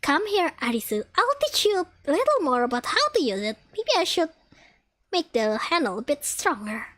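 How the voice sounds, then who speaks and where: A woman voicing a composed young engineer student from an anime game, measured and explanatory. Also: sits high, very animated, fairly bright tone, pitched below the performer's own voice. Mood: calm, measured. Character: composed young female engineer student, matter-of-fact senior.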